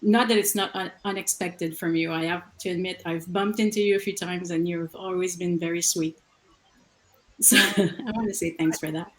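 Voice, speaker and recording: A woman's voice: fairly low pitch, steady tone, moderate pace, poor audio. A woman from Montreal with a French Canadian accent, chatting giggly and friendly as a fan on a video call. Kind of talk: casual chat.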